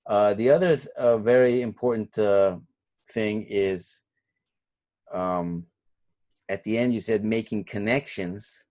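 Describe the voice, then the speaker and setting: A man's voice: lowish voice, slow pace, long pauses, a little mellow. A man whose first language was Turkish, a course instructor giving feedback and asking questions in a class, rambling and thinking aloud with many fillers.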